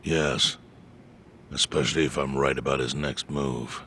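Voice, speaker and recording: An actor playing a calm, knowing male informant who explains things in a measured way. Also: extremely low pitch, a little hiss or hum, heavily acted. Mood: grave.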